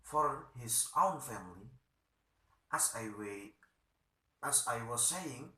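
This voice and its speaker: A man plays a pompous town official in a stage drama, stern and threatening. He scolds formally and haltingly at a slow pace, with a hard, bright edge. The recording is roomy and echoey. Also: mid-range voice, lively intonation.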